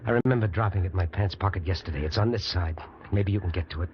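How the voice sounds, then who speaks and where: Man playing a deep-voiced, resigned fugitive. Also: fast talker, lightly acted. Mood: reflective, bargaining. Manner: resigned, fatalistic, plainspoken. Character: resigned, wry male fugitive prisoner, freezing and worn out. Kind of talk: old-time radio drama acting. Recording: dull, noisy old radio recording.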